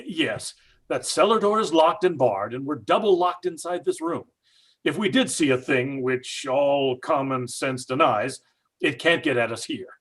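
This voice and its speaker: A man's voice: fairly high pitch, lively intonation, a little slow. A man playing a blustering skeptic father. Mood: shaken. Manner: blustering and scornful. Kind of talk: old time radio drama acting.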